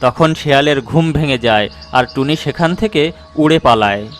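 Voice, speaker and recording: A man narrating a scripted animated moral story for children. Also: medium pitch, moderate pace, a little hiss or hum.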